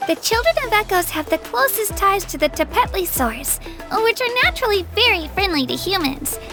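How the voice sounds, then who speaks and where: Game role: eager, earnest girl adventurer, very high pitched, friendly and chatty, over music. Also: expressive, normal speed. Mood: cheerful, explaining. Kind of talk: video game voice acting. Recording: music behind the voice.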